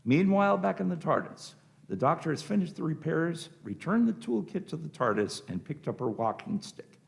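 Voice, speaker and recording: A man's voice: on the high side, big pitch swings, moderate pace, gravelly. A man narrating a scripted radio drama, reading out the scenes and action.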